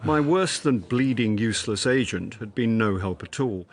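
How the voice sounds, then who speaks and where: Middle-aged man, wry sardonic drama narrator, lively pitch. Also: moderate pace. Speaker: actor and narrator. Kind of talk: first-person radio drama narration.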